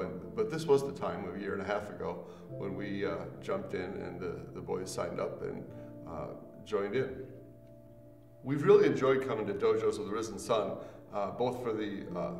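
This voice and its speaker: A middle-aged man giving an unscripted testimonial in a measured, matter-of-fact way. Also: fairly low pitch, vocal fry. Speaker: parent and student giving a testimonial. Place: small indoor room with a couch. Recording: roomy echo, distant mic, faint music behind.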